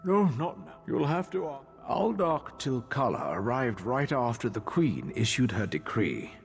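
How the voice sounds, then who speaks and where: Game monk: grumpy, drowsy, put-upon, lively swooping pitch, long reverb, music underneath. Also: male voice, a little slow, creaky. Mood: reluctant gossip. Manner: dismissive, wry, put-upon. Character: grumpy, sleepy male monk, evasive and weary of questions. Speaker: monk character. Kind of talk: video game dialogue.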